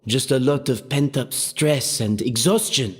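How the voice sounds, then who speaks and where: A man playing a composed, responsible figure of authority in an anime game, measured and articulate. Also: medium pitch, slightly rough. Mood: calm, matter-of-fact.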